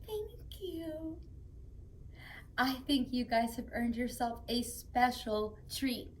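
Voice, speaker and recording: A woman playing an excitable, gushing, childlike female character who speaks to young viewers in a children's show. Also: a little high, takes it slowly, slight background noise. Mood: sweet, eager.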